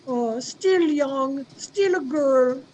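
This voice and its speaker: A woman's voice: high-pitched, drawn-out words, mellow. A woman playing Minnie, a fond, plainspoken older female servant in an old-time radio drama. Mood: tender. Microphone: video call. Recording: noisy home video-call recording.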